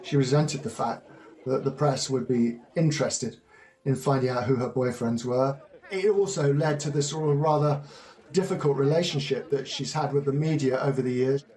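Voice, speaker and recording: A man's voice: mid-range voice, normal speed, dark tone, rough recording. A man giving interview commentary as a royal commentator. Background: faint music.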